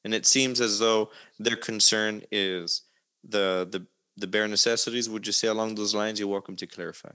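Low, bright-voiced male interviewer. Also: fairly even intonation, moderate pace, clear, resonant tone. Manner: wordy, reflective, roundabout questioning. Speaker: interview host. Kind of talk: conversational long-form interview.

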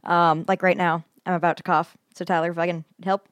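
A woman's voice: lowish voice, level tone, a little fast, no room sound. Woman whose voice comes and goes. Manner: blunt, swears casually. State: recovering from sinus infection, voice goes in and out. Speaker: podcast co-host. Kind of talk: casual chat.

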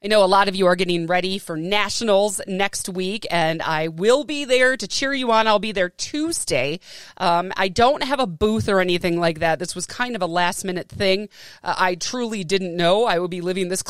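Woman, American, podcast host. Smooth clear voice, close dry sound, chatty and enthusiastic. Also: medium pitch, no echo. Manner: enthusiastic, self-deprecating, informal. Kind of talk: casual chatty solo podcast talk.

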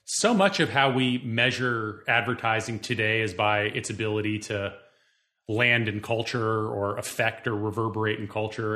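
Measured, reflective male interviewer. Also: lowish voice, sharp tone. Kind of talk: thoughtful interview questions.

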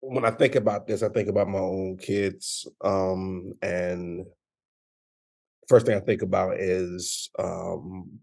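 Low mellow man, thoughtful and hesitant. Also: steady tone, slow pace, gravelly. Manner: thoughtful, hesitant, many fillers and restarts. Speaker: panelist. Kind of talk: panel discussion.

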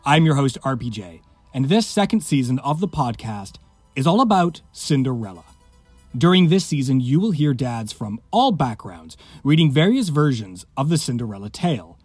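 A man's voice: medium pitch, big pitch swings, normal speed, a little mellow, slightly rough, no room sound, faint music behind. A man reading a scripted podcast introduction as host, with playful emphasis on words.

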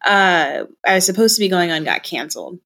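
A woman's voice: lowish voice, animated, fairly quick, fairly bright tone. A young woman telling personal stories as an interview guest in a casual, candid and reflective way.

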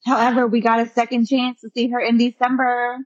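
A woman's voice: fairly high pitch, monotone, fairly quick, no room sound, lo-fi sound. A young adult married woman co-hosting a podcast, chatting easily and saying "you know" a lot. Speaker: podcast co-host. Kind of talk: casual podcast chat.

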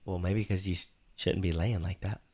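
A middle-aged father with a very deep, low voice runs the game picks in a casual chat. He is patient and matter-of-fact, with a dry, teasing edge. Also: fairly even intonation, normal speed, mellow. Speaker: father co-hosting sports picks.

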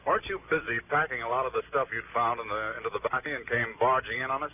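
A man's voice: mid-range voice, fast, husky, phone-quality sound, lightly acted. An American man playing a hearty, teasing federal agent in a 1960s radio drama. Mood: teasing, mock-stern. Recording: thin, faintly noisy vintage radio recording.

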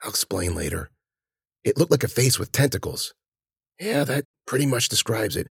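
A man's voice: mid-range voice, unhurried, slightly rough, no room sound, clean studio sound, voice pushed up. A man voicing a fierce, impatient young woman in an audiobook story, terse, commanding and scolding. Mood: hushed, terse.

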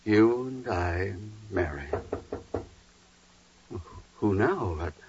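An actor playing a devout, gentle, anxious husband and father, earnest and theatrical, in a 1940s radio drama. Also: fairly low pitch, expressive, extremely slow, noisy background. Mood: consoling. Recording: dull vintage radio recording.

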